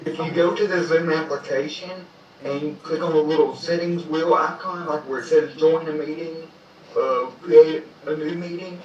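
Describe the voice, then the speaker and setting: A man's voice: on the high side, steady tone, moderate pace, a crowd in the background. A man on a video call patiently explaining settings step by step. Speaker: tech helper on a video call. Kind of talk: casual step-by-step instructions. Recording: echoey, distant, dull call audio.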